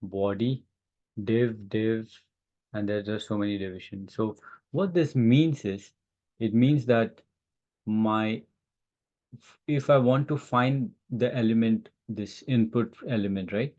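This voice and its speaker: A man teaching a live online software lesson informally, haltingly thinking aloud as he demonstrates. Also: lowish voice. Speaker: instructor. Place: online class call with screen sharing. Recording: roomy, dull lo-fi online-call audio.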